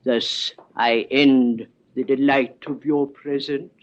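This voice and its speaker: An actor playing a suave, obsequious, scheming rich collector in a 1950s radio drama, speaking with flowery, formal courtesy. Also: male voice, mid-range voice, fairly even intonation, slow pace, close to an everyday voice. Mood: reverent, commanding. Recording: dull vintage 1950s radio recording.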